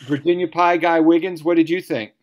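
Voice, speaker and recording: A man's voice: on the high side, steady tone, a little fast, muffled recording. Guy mc-ing a virtual storytelling night over video call, wordy and jokey, kinda mock-grand. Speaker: host and MC of a story slam. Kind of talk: jokey off-the-cuff hosting. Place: online video event.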